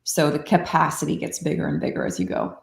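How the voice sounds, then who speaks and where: A woman explaining meditation practice in a calm, unhurried way, often saying "like", in casual podcast conversation. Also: deep voice, vocal fry, reverberant. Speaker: meditation teacher.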